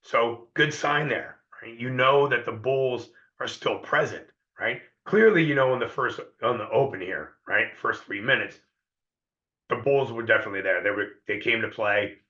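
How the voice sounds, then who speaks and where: Hoarse guy walking viewers through a chart, bright edgy tone, echoey lo-fi audio. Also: medium pitch, moderate pace. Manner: conversational, repeats himself and checks in with viewers. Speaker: trading educator. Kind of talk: live trading lesson.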